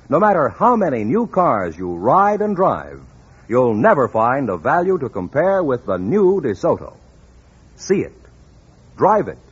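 A man delivers a scripted old-time radio advertisement. His emphatic sales pitch swoops widely up and down in a very husky voice. The recording is dull and dated, with limited fidelity. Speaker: commercial announcer.

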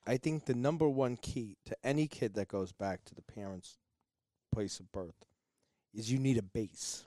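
A man's voice: mid-range voice, moderate pace, mellow, no echo, clean studio sound. A man co-hosting a podcast, talking emphatically and giving opinionated advice in casual conversation. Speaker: podcast co-host.

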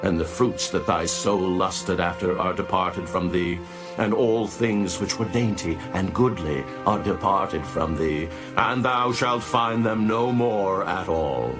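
A man's voice: deep voice, takes it slowly, over music. A man playing a solemn heavenly voice in a dramatized scripture reading, pronouncing judgment and lament in a declamatory way.

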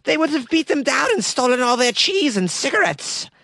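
A man's voice: very high-pitched, sing-song, normal speed, creaky, bright, forceful tone, no echo. A man hosting a podcast, giving comedic commentary on a news story in a sarcastic, joking way with casual swearing. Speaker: podcast host.

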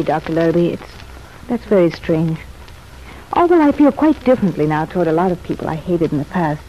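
Actress as young female sanitarium patient, 1940s-style radio soap: poised, formal, self-examining, mid pitch, dulled vintage sound. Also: natural voice. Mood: hesitant, confiding. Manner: formal, articulate, hesitant phrasing. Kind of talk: old-time radio soap opera acting. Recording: muffled, noisy old radio recording.

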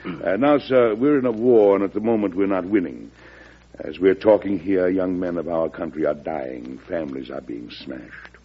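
A wartime American president with a low, husky voice. He speaks in a measured, folksy American way, firm and dryly wry, on a muffled vintage radio recording. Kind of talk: old-time radio drama acting, storytelling.

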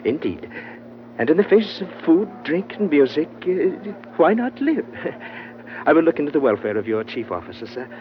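Unctuous, mocking host, muffled old radio. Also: male voice, on the high side, big pitch swings, normal speed, slight background noise. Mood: genial, unctuous. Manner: formal, unctuous, florid phrasing. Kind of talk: old-time radio drama acting.

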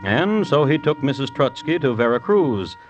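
An actor narrating an old-time radio crime drama as a wry, formal chronicler, measured and dry. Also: male voice, medium pitch, very animated, natural voice. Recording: dull vintage radio recording, music behind.

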